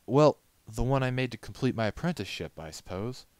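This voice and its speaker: A man's voice: medium pitch, expressive, brisk, darkish tone, no echo, pitched above the performer's own voice, natural voice. A man voicing a practical male pony puppet-maker in a storybook reading, dry, teasing and matter-of-fact. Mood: conceding.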